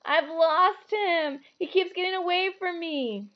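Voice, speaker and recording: A woman's voice: extremely high pitch, measured pace, highs cut off, pitched above the performer's own voice. A woman voicing a timid, miserable young boy in a storybook, plaintive and close to tears. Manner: plaintive, wailing.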